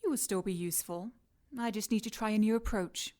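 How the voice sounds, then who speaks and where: A woman's voice: medium pitch, background noise, lightly acted. Cool, condescending woman scientist villain played by an actress. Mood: condescending, clinical. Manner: clinical, taunting, unhurried. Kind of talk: radio drama acting.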